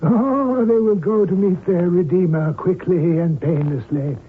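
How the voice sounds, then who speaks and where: Fanatical cult reverend for radio play: high, deliberate, pompous delivery, tinny old recording. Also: male voice, breathy edge. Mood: cold menace. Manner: pompous, preaching, biblical diction. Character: fanatical cult leader, a reverend full of righteous menace. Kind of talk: old-time radio drama acting. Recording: tinny band-limited old radio recording, faint noise.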